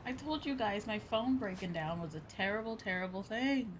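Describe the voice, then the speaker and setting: A woman's voice: medium pitch, animated, moderate pace, a little hiss or hum, rough recording. A woman livestreamer chatting casually to her viewers in a rambling, repetitive way. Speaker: VTuber streamer. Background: background music.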